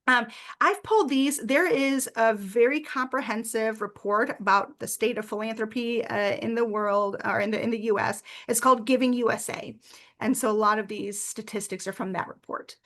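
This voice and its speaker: Brief: woman presenter, higher-pitched, earnest, many "uh"s. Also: normal speed. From United States. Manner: earnest, warm storytelling with frequent "uh" fillers. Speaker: workshop presenter. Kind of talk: presentation with personal story.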